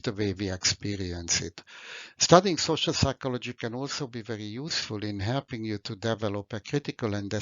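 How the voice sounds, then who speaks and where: A man's voice: lowish voice, a bit bright, no echo. Older Hungarian-accented man lecturing. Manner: measured, formal and explanatory. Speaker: university lecturer. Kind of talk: recorded lecture narration over slides. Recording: dry, dull lo-fi recording.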